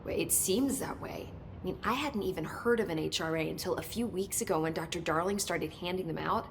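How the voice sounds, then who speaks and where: A woman's voice: lowish voice, a little fast, gravelly, slight background noise. A woman playing an eager, talkative research scientist in a video game, explaining technical details keenly. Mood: enthusiastic.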